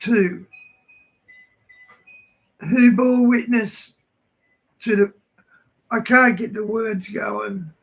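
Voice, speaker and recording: A hoarse man hosting a livestream, reading scripture aloud haltingly and chatting casually. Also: high voice, fairly even intonation, faint music behind, poor audio. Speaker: livestream host.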